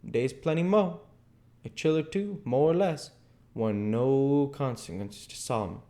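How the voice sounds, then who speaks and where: A man's voice: mid-range voice, measured pace, warm tone, pitched above the performer's own voice, close to an everyday voice. A stubborn Southern man, as acted out by a male reader, argues in a thick folksy dialect. He is an unschooled storybook character, indignant and insistent in the way he reasons. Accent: American South. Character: stubborn, argumentative uneducated grown Southern man, a runaway. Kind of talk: audiobook character dialogue.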